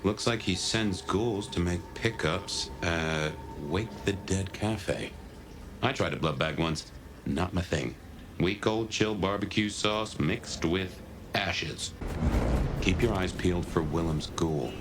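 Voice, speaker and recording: Laid-back male vampire detective, deep voice, dry, sardonic, video game, noticeable ambient noise. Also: takes it slowly. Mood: dryly sardonic. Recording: clear ambient noise behind.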